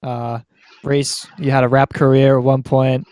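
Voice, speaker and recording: A man's voice: medium pitch, level tone, unhurried, a little mellow, clean tone, dry recording. A man with an Australian accent hosting a podcast in a laid-back, slangy, chatty interview style. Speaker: podcast host. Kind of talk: casual interview banter.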